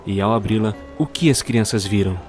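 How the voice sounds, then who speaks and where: A man narrating a scripted storybook tale for an audio drama in a measured storyteller's manner. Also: fairly low pitch, a little mellow, faint music behind.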